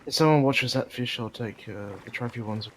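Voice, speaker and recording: A man's voice: mid-range voice, a little fast, noisy background. Male co-player, medium register, conversational gaming delivery, light boat ambience in the background. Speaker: co-player on a game stream. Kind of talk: casual gaming chat.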